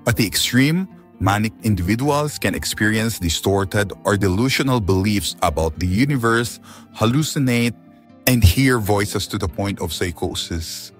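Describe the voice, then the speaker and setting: Brief: male actor as low-voiced doctor, clinical and measured, grave Filipino audio drama, music underneath. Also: expressive. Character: calm male physician explaining a grave diagnosis.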